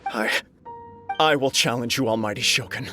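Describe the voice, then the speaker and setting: A man's voice: mid-range voice, normal speed, close to an everyday voice. An actor playing an earnest, dutiful samurai nobleman in a video game, speaking formally and sincerely. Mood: resolute. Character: earnest, dutiful male samurai clan heir. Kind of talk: dramatic video game voice acting. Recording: music behind the voice. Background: game background music.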